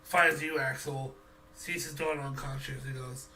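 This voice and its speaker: A man's voice: mid-range voice, fairly even intonation, normal speed, sharp tone. A man running a tabletop game, improvising narration and rulings as game master. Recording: distant mic, faint background noise.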